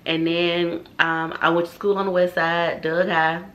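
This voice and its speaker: Thirties woman, bright lowish voice, chatty co-host. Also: little pitch movement, unhurried. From Atlanta, Georgia, US. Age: 30s. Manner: chatty, laughs often, teasing. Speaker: vlog co-host. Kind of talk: casual couple Q&A chat.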